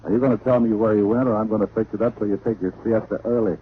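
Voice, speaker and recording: An actor playing a charming, wisecracking hardboiled detective with an American accent, acting and narrating in an old radio drama. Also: male voice, on the low side, steady tone, rapid-fire, husky, narrow, tinny audio. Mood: tough, glib. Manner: glib, breezy wisecracks. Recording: thin, noisy vintage radio recording.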